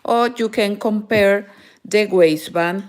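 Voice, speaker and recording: Slow, halting woman with a Peruvian Spanish accent. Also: mid-range voice, darkish tone. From Peru. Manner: halting, searching for words, frequent "eh" fillers. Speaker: crochet teacher. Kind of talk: live crochet tutorial.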